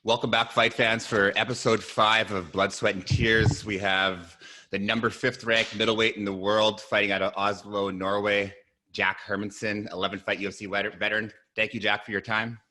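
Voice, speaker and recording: A man's voice: fairly low pitch, little pitch movement, normal speed, sharp tone, faint music behind, poor audio. A man with a Canadian accent hosting a podcast and interviewing a guest in a casual, conversational way.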